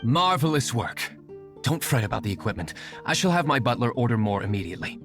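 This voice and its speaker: A man playing a composed, brooding young aristocrat in a video game, speaking formally and in a measured way. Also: mid-range voice, sing-song, music in the background. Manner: formal, measured, well-spoken. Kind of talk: video game character acting.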